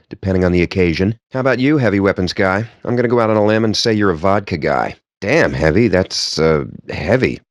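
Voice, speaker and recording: A smug, wry gamer man trading banter in a video game. His voice sits fairly low and moves with lively, expressive intonation. He is sardonic and verbose, relishing ornate words, and the sound is close and dry. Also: no echo. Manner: sardonic, wordy, theatrically articulate.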